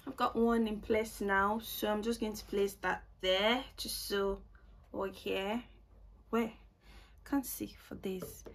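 Young woman beauty vlogger, chatty and self-mocking, medium pitch, home room, desk camera. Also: creaky. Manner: chatty, self-mocking, repeats words for emphasis. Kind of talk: casual makeup tutorial chat. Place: small home room, indoors.

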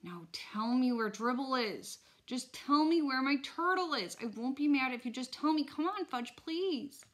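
A woman voicing an upset ten-year-old boy who shouts and pleads with his little brother, in a storybook read aloud. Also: sits high, animated, a little slow, hardly any pauses, voice pushed up. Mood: pleading.

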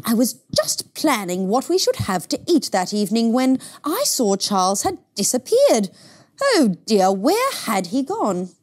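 A woman's voice: fairly high pitch, big pitch swings, measured pace. A woman voicing a fussy, snobbish mother in a picture book, pompous, bossy and disapproving. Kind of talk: picture book read-aloud.

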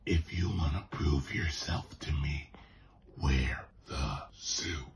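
A man playing a menacing, demanding villain boss with a slow, ominous, commanding delivery in an amateur cartoon comedy. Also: very deep voice, vocal fry, a little hiss or hum, exaggerated voice. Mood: cold, commanding.

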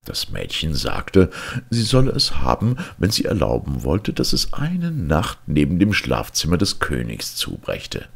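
A man's voice: extremely low pitch, wildly swooping pitch, a little slow, vocal fry, slightly rough. A man narrating a fairy tale as a storyteller, in a dramatic reading style.

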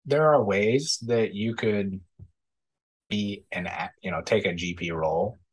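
A man in his thirties, a podcast guest, giving measured, thoughtful answers with frequent ums over a video call. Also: fairly low pitch, husky, poor audio. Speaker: podcast guest, real estate investor. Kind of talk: casual interview answers. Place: indoor room, video call.

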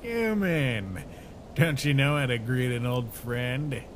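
A performer voicing a sinister hooded male skeleton in a dramatic comic dub, soft-spoken and measured. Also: mid-range voice, very animated, slight background noise. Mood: calm, ominous. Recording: voice over music.

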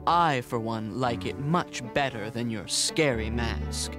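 An actor voicing a wise, pompous, measured adult owl in a children's cartoon. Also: mid-range voice, animated. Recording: music behind the voice.

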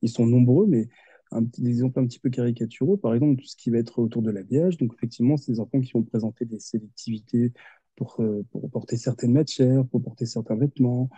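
A man's voice: mid-range voice, quick pace, dark tone, slightly rough, no echo. A man in his thirties, an occupational therapist, explaining patiently with examples in a video call interview. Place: home office with window. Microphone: headset on video call. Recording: muffled video call audio.

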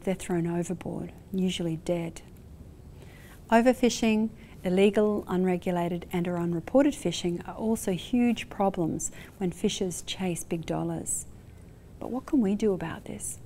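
A woman in her forties with an Australian accent, presenting a scripted science lesson to camera in a measured, explanatory way. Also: lowish voice, mellow, a little hiss or hum. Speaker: marine biology professor presenting. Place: indoor lab studio with aquarium.

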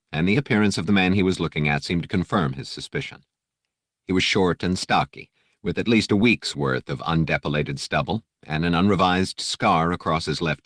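Very deep male audiobook narrator. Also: fairly bright tone, dry recording, clean studio sound. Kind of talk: scripted narration of an adventure novel.

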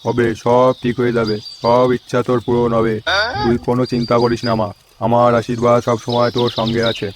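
A man's voice: mid-range voice, completely flat delivery, fairly quick, noisy background, lightly acted. A man voicing a sly village tantric in a comedy cartoon, droning out patronising reassurances. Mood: slyly reassuring. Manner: chanting, droning, patronising blessings. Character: sleazy fake village tantric holy man, slyly reassuring.

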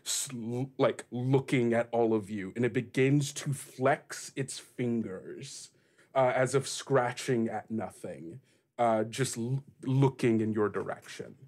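A man improvising narration as game master of a tabletop game, describing scenes casually and haltingly. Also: medium pitch, a little mellow.

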